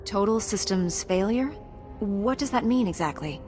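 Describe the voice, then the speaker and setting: A woman's voice: medium pitch, animated, moderate pace, darkish tone, faint music behind, lightly acted. A woman playing a composed, polite, helpful female knight hero in a video game.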